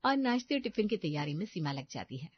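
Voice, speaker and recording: A woman's voice: lowish voice, very animated, fast talker, husky, dry recording, highs cut off. A woman narrating a scripted family story as a storyteller.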